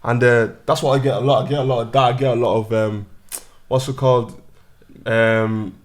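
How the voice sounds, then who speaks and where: A man in his thirties, born in Nigeria and raised in Ireland, chatting as a podcast guest in a small studio on a boom-arm desk mic, telling stories loosely with lots of "bro" and restarts. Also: fairly even intonation. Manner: fast, loose storytelling full of "bro" and restarts. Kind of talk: casual chat.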